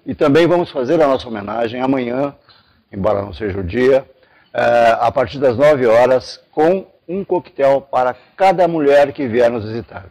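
Husky, gravelly older man. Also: medium pitch, animated, normal speed, darkish tone, lo-fi sound. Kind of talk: formal spoken invitation to camera. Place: large indoor hall.

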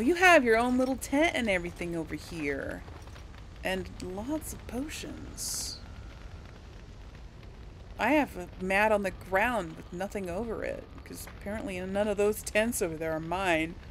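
A woman hosting a casual let's play. She speaks very slowly with a lot of pitch movement, in a musing, informal way, over faint background noise. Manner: giggly, musing. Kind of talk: casual game commentary with read-aloud dialogue choices.

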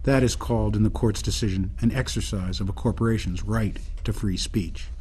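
Actor as indignant man, radio drama, mellow tone, pointed explanations, earnest. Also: fairly low pitch, brisk, close to an everyday voice. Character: earnest, indignant male political dissenter.

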